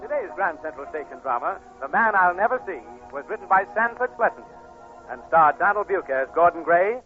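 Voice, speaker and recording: Casting: 1940s male announcer, high projected pitch, very muffled vintage broadcast sound with music. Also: sing-song, a little fast. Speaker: radio announcer. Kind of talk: 1940s scripted radio announcement. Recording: narrow, muffled 1940s radio recording.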